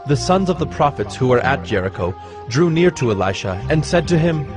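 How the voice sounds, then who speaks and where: Casting: male narrator, medium pitch, formal and measured scripture reading, clear music bed behind. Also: sing-song.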